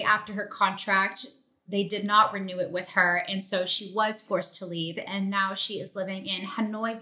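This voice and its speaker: A woman's voice: mid-range voice, bright, forceful tone. A woman hosting a podcast, introducing and interviewing in a conversational style. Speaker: podcast host.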